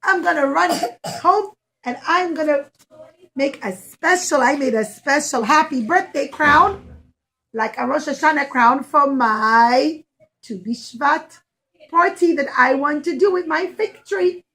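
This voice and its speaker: A woman voicing an excited, caring young girl in a puppet show, speaking earnestly to small children. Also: sits high, unhurried, full character voice, poor audio.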